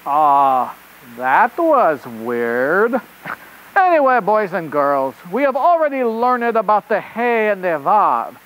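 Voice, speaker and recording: A performer voicing an excitable, easily flustered rabbit reporter puppet in a children's show, with a theatrical delivery and a put-on French accent. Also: mid-range voice, slow, rough recording. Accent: fake French. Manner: theatrical, exclamatory.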